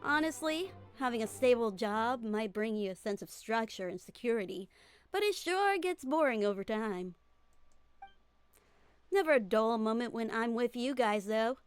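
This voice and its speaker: A woman acts out an easygoing female desert mercenary for a game fan dub, with a fairly high voice. She is self-assured and informal, talking people round in a breezy way. Light music plays behind her. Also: lively intonation, normal speed, clear, resonant tone, no echo, close to an everyday voice. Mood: breezy, confident.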